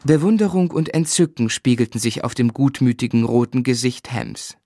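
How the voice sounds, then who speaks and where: An actor playing a grown man gently and wistfully recounting his boyhood as a measured radio drama narrator. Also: medium pitch, animated, no echo, clean studio sound, close to an everyday voice. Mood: tender. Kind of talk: literary first-person narration in radio drama.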